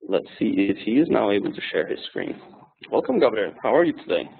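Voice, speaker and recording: A man hosting an online webinar, speaking in a halting way with many ums and uhs. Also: on the low side, big pitch swings, vocal fry, a little hiss or hum. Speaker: webinar host. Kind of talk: webinar introduction. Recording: tinny phone-like audio.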